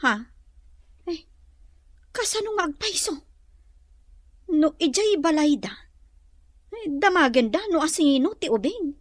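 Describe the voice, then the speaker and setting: High-voiced troubled young wife. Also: female voice, lively intonation, unhurried, pauses often, no room sound, studio quality. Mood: worried. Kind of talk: radio drama acting.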